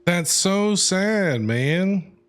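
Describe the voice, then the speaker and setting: A man's voice: sits high, lively intonation, very slow, a bit bright. Slangy streamer guy reacting casually. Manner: slangy, joking, emotional. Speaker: game streamer. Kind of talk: casual live reaction commentary.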